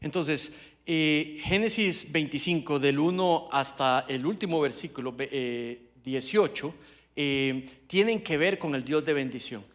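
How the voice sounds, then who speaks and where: A man's voice: a little high, a little slow, fairly bright tone. A man interpreting a sermon live into Spanish, hesitating and restarting as he goes. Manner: hesitant, many fillers and restarts while interpreting. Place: church sanctuary.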